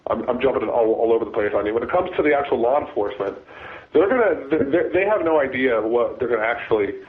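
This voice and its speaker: A man, a former deputy sheriff, talking as a guest with a raspy voice from a cold, halting and restarting his sentences. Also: medium pitch, lively intonation. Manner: halting, many restarts and repeated words. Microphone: phone. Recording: phone line.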